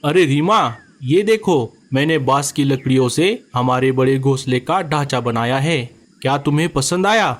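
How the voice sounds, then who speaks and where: A man's voice: mid-range voice, lively intonation, normal speed, few pauses, natural voice. A man voicing an easygoing, lazy male sparrow husband in a children's cartoon, grumbling and pleading. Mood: earnest. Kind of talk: cartoon voice acting.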